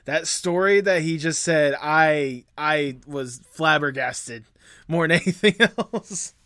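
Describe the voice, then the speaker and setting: A man's voice: a little high, slow, fairly bright tone, no room sound, clean studio sound. A man hosting a casual podcast, rambling with many fillers and restarts as he recalls past episodes. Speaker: podcast host.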